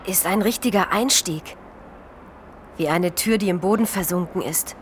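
A woman's voice: on the low side, normal speed, lightly acted. An actress playing a brash, streetwise homeless woman, colloquial and urging, in a German radio crime drama. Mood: excited, coaxing. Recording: noticeable background noise.

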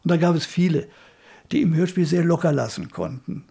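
Gravelly older man reminiscing. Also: on the high side, animated, brisk, warm tone. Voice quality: gravelly, husky. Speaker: retired radio drama director and editor. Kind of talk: casual interview reminiscence.

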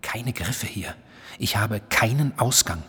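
A restless psychiatric patient narrating a literary radio drama. The voice is lowish and extremely husky and breathy, delivering intense, wry diary fragments. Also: male voice, lively intonation, takes it slowly, fairly bright tone, exaggerated voice. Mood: restless, suspicious. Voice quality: very husky, breathy, at times whispering. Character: agitated, self-aware, wry male psychiatric patient narrating. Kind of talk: literary radio play monologue.

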